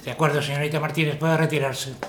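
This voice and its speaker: An actor playing a weary, defeatist army colonel who speaks in a sarcastic, clipped way. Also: male voice, a little high, steady tone, quick pace, fairly bright tone, roomy sound, a little hiss or hum, lightly acted. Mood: sardonic.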